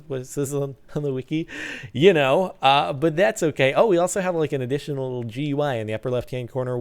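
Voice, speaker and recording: Young male let's play host, slightly high, gravelly, rambling and upbeat at a desk mic. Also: darkish tone. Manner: rambling, upbeat, self-deprecating. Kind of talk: casual gameplay commentary.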